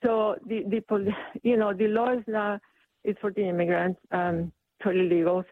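A woman from El Salvador, calling in to a radio talk show. She speaks in a halting, indignant way. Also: mid-range voice, little pitch movement, dry recording. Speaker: caller. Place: calling in by phone. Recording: tinny phone line.

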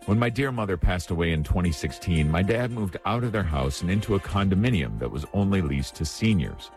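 A man hosting a spiritual radio show, hoarse and raspy after neck surgery, telling a personal story gently and unhurriedly. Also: low-pitched, expressive, brisk, soft background music. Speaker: radio host and deacon. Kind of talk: reflective spiritual radio talk.

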